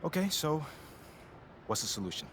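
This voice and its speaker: A man's voice: a little high, very animated, normal speed, background noise. An actor voicing a laid-back young male rookie basketball player in a video game cutscene, talking casually with slang and jokes. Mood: relaxed. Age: young adult.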